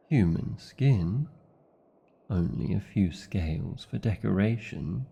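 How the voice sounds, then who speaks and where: A man's voice: sits low, creaky, warm tone, slightly rough, lo-fi sound, exaggerated voice. A British man playing a proud, tender dragon lover in a fantasy audio romance, speaking in formal, old-fashioned, theatrical phrases. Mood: awed, tender. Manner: formal, archaic, theatrical phrasing with tag questions. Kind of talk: fantasy ASMR roleplay, slow intimate acting.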